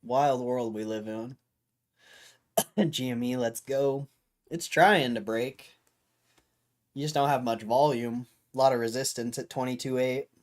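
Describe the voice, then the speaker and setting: A young man hosts a livestream in a loose, rambling way, talking straight to his chat. His voice is hoarse from hours of talking, and he keeps clearing his throat. He sits at a middle pitch for a man. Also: a little slow, pauses often. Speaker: livestream host.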